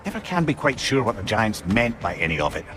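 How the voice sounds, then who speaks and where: A man voicing a wry, worldly old sage companion in a video game, speaking in a measured way. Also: fairly low pitch, big pitch swings, fairly bright tone, soft background music. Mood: musing, wry. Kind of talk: video game character acting.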